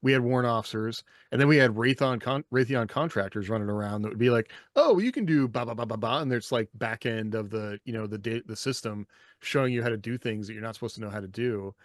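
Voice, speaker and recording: A man's voice: mid-range voice, fast talker, no room sound, studio quality. A man casually explaining his thoughts in discussion as a podcast co-host and author.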